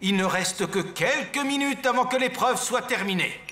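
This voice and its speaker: An actor playing a grandiose, excitable cooking contest announcer in a cartoon, building suspense in an echoey space with music behind. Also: male voice, sits high, moderate pace. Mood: excited suspense.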